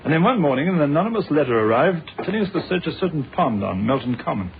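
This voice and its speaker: An actor playing a patient, courteous police inspector in a British radio drama, speaking in a measured, polite way with a British accent. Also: male voice, on the high side, sing-song, vocal fry, slight background noise. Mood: reflective, recounting. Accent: British English. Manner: measured, polite, dryly unflappable. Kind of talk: old-fashioned British radio drama acting. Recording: narrow, phone-like old radio recording.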